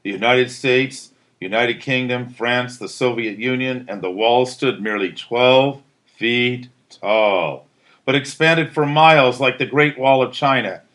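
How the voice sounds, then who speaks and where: A man's voice: medium pitch, fairly bright tone. A man reading a narrative aloud as a teacher would, in a dramatic, emphatic storytelling style. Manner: theatrical, emphatic delivery.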